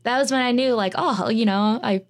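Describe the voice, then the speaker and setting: A woman's voice: fairly high pitch, brisk. A young American woman, a student, answering interview questions in a chatty way with many filler words. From United States. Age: young adult. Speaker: student guest.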